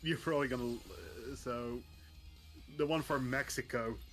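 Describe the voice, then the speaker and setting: Medium-pitched man chatting over music. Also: unhurried, a bit bright. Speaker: co-commentator on a game stream. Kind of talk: casual chat, explaining trivia. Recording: music playing behind the voice.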